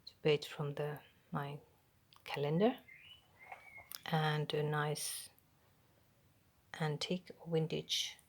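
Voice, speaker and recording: A Finnish woman speaks in a low, slow, hushed voice with a gravelly edge, often dropping into a whisper. She has a Finnish accent and hesitates between phrases as she shows her crafts at a home table, with a faint hiss behind her. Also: level tone. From Finland. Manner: soft half-whispered, hesitant, with pauses and ums. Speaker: craft channel host. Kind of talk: soft show-and-tell of a handmade journal.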